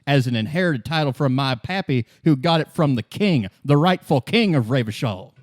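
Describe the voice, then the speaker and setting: A man's voice: medium pitch, expressive, normal speed, dry recording, clean studio sound, lightly acted. Man voicing a whiny, blustering bigoted truck driver. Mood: indignant, boastful.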